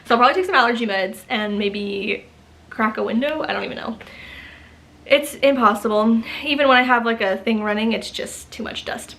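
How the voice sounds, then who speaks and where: A young woman chats to her camera in a home studio, her voice sitting a touch high. She rambles through her to-do list with plenty of ums and side remarks, sounding a little stuffy from allergies. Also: brisk, vocal fry, a bit bright. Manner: chatty, rambling, many ums and asides. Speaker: small business owner vlogging. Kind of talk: casual vlog chat to camera.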